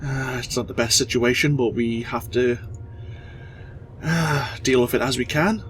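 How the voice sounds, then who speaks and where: A man's voice: mid-range voice, unhurried, a little hiss or hum. Man with a head cold narrating gameplay. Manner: relaxed, explanatory. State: stuffy with a cold. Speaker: let's play host. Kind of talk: casual gameplay commentary.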